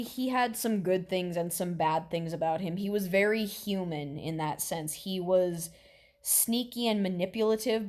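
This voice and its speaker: A woman's voice: medium pitch, a little mellow. A woman hosting a reaction video in casual chat, rambling and explaining as she comments.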